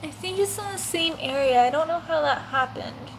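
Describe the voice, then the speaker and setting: A young woman doing soft-spoken ASMR roleplay as a gentle giant monster, halting and sighing as she talks. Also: high voice, a little hiss or hum, low-quality recording. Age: young adult. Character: gentle giant female monster with sore feet. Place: living room, indoors on a couch.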